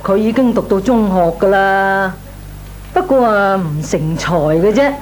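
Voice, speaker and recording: An actress playing a worried, plaintive middle-aged aunt with a Cantonese accent in an old Hong Kong radio drama. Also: female voice, medium pitch, a little slow, a little mellow, lightly acted. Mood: explaining. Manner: plaintive, sighing, exclamatory. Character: fretful married woman, worried pleading aunt. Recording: old radio recording, faint noise.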